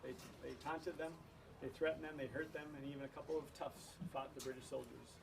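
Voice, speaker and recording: A man's voice: mid-range voice, steady tone, a little fast, gravelly. A man giving an informal introduction to a student show, speaking to an audience like a teacher. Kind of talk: informal introduction speech to parents. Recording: noisy room, distant microphone.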